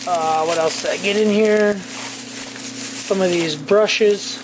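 Man, middle-aged, casual vlog, unhurried drawl, rambling with fillers, home garage, handheld camera mic, noisy audio. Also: high-pitched, steady tone. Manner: rambling, slow and offhand, with many fillers and self-corrections. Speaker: channel host. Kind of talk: casual shopping haul vlog. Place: home garage workshop, indoors. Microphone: handheld camera mic, filming point of view.

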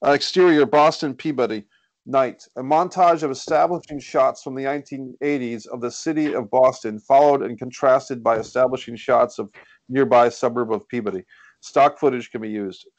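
A man's voice: mid-range voice, fairly even intonation, normal speed, muffled recording. A man reading stage directions as scripted narration at a screenplay table read.

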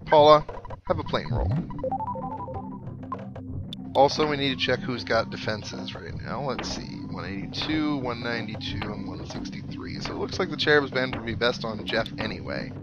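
A man hosts a let's play with casual, rambling commentary, thinking aloud as he goes. His mid-pitched voice moves up and down in an animated way. Video game music plays clearly behind him. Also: normal speed, dull audio.

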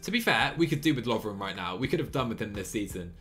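A young man in his twenties streaming a cycling management game from a small room on a headset, chatty and excitable, laughing often while reading chat. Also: lowish voice, quick pace, soft background music. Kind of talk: live gameplay commentary and chat reading.

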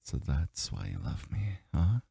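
A man's voice: very deep voice, very animated, unhurried, dark tone, no echo, studio quality, heavily acted. A man voicing a doting, teasing boyfriend in an intimate ASMR roleplay, murmuring breathily and often slipping into a whisper. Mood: playful, teasing. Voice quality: breathy, husky, gravelly. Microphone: close microphone, dry.

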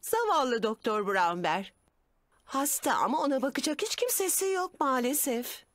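A very high-voiced cartoon gazelle teacher. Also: female voice, sing-song, moderate pace, a bit bright, no room sound, clean studio sound. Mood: kindly. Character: female gazelle schoolteacher, cheerful, sing-song, kindly. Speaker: teacher character. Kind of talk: children's cartoon dub.

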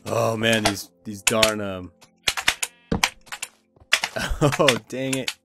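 A man hosting a casual let's play, excitable and jokey, yelling at game events. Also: fairly low pitch, very slow, vocal fry, heavy background noise. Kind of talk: casual gameplay chat. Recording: loud game music behind voice.

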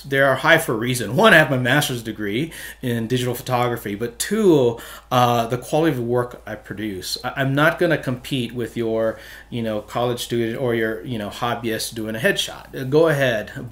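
A man's voice: mid-range voice, a bit bright. A middle-aged man from Hawaii, a photographer, answering interview questions earnestly with many restarts, in a small studio room at a desk mic. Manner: earnest, talks with his hands, many restarts. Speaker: photographer guest. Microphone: desk mic on stand.

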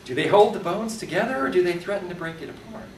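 A man reads his short horror fiction aloud at a live event in a theatrical, deliberate storytelling manner with wry humour. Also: high-pitched. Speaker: author reading his own fiction. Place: live reading at a convention, indoors. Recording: roomy, distant live recording with background noise.

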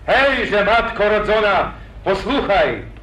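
An actor playing a boastful young hero of an old epic, declaiming verse with pathos in 1940s radio acting. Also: male voice, sits high, lively intonation, takes it slowly, fairly bright tone, slight background noise, heavily acted. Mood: commanding. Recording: echoey old radio recording.

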